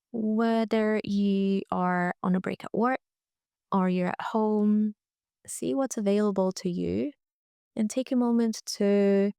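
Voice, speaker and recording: A woman leading a guided relaxation meditation in a gentle, soothing, unhurried way, with frequent pauses. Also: medium pitch, gravelly, clear, resonant tone, dry recording, clean studio sound. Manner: gentle, soothing, pausing often. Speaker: yoga nidra guide.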